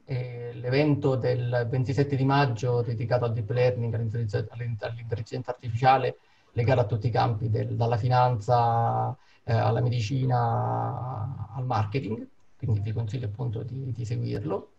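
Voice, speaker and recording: A man's voice: medium pitch, level tone, normal speed, creaky, mellow. A man from Italy hosting an online meetup with an informal introduction over a video call. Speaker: meetup host and moderator. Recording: dull video-call audio.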